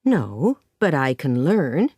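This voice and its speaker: A woman voicing a stern, practical older female guardian in an audiobook story, with a firm, measured delivery. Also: low-pitched, very animated, mellow, slightly rough, dry recording, clean studio sound, voice pushed down, heavily acted.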